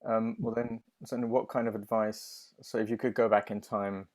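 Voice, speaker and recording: Low-voiced male podcast host. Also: little pitch movement, normal speed, a little mellow. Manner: long, meandering questions with many "you know"s. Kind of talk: interview questions.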